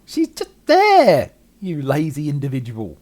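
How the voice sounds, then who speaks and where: A man's voice: fairly high pitch, wildly swooping pitch, measured pace, a little mellow. An older man giving commentary as host of a video game let's play.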